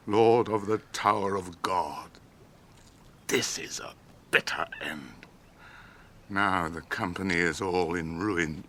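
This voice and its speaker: An actor playing a noble, grieving ranger leader in a radio drama, speaking in a grave, measured, theatrical way. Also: male voice, low voice, sharp tone, slight background noise, exaggerated voice. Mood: grieving, self-reproachful. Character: noble, grieving male ranger leader, weary and self-blaming.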